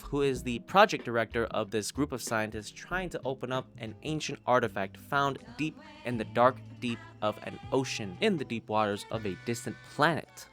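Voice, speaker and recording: A man giving a casual, sweary video review of audio drama podcasts in an expressive, informal way. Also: medium pitch. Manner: expressive, foul-mouthed, informal. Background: background music.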